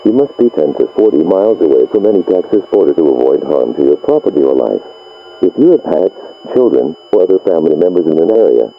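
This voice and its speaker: A man's voice: very deep voice, vocal fry. A man reading a scripted emergency alert announcement in a muffled broadcast recording. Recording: muffled lo-fi broadcast, faint music behind.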